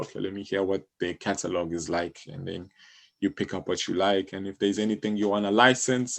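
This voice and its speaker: A man with a South African accent talking as an interview guest, explaining things in a casual, conversational way with everyday examples. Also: lowish voice. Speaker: interview guest, music publishing company co-founder.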